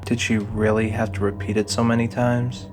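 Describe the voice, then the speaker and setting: A man narrates a fantasy audio drama in a deliberate storytelling style. His voice is fairly low and stays level, with faint music playing behind it. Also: little pitch movement, darkish tone.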